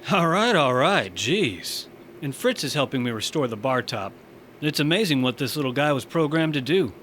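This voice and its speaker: A man's voice: mid-range voice, lively intonation, moderate pace, fairly bright tone, slight background noise, close to an everyday voice. A man playing an easygoing young Martian bar owner in a science fiction audio drama, talking casually with dry sarcasm.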